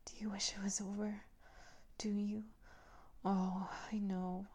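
A woman playing a domineering, cooing character in an intimate adult roleplay, mostly whispering in a breathy, coaxing, condescendingly tender manner. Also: medium pitch, little pitch movement, takes it slowly, warm tone. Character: domineering, cooing woman who soothes and commands an adult man.

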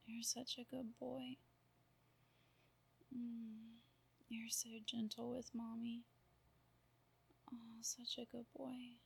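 Woman, fairly high and very even pitch, unhurried, soft cooing whisper for intimate roleplay. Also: creaky, breathy edge. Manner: soft cooing, half-whispered, drawn-out murmurs. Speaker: roleplay performer.

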